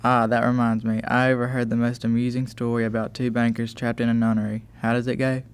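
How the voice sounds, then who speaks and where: A teenage boy playing a creaky, stammering English gentleman. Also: mid-range voice, flat delivery, quick pace, close to an everyday voice. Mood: chatty, amused. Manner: stammering, talkative, eager to please. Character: flustered, chatty, joke-telling English gentleman husband and master. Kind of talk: amateur school radio play acting.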